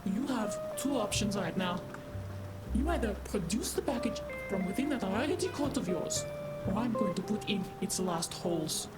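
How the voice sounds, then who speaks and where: A woman acting as a menacing female gangster, her voice mid-pitched. She is scornful and demanding in a noir radio drama, with loud music underneath. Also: expressive, moderate pace, close to an everyday voice. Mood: threatening. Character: menacing, foul-mouthed female criminal enforcer with a gun. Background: loud cinematic music.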